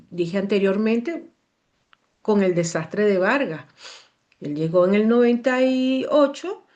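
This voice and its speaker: Venezuelan lady astrologer, talks chatty and animated, repeats stuff a lot, medium pitch. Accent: Venezuelan Spanish. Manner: animated, repetitive, digressive. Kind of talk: casual explanatory talk.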